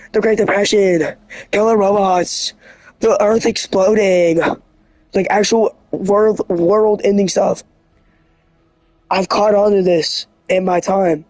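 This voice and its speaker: A man with a hoarse, scratchy voice, rambling earnestly and excitably as a livestreamer. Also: high-pitched, no room sound. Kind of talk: casual livestream monologue.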